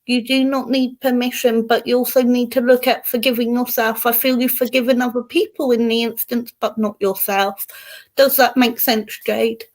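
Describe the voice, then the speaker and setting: A woman's voice: a little high, flat delivery, normal speed, darkish tone. English woman hosting a chatty card reading. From England. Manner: chatty, greets viewers by name. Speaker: host and card reader. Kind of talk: casual live-stream chat and card readings.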